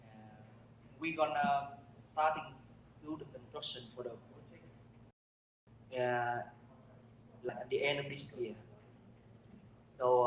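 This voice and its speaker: A man introduces himself, hesitating and filling gaps with "uh" and "um". His voice has a mid pitch. The room echoes, with faint noise behind him. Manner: hesitant, halting, many fillers. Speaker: investor. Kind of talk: self-introduction at a networking event.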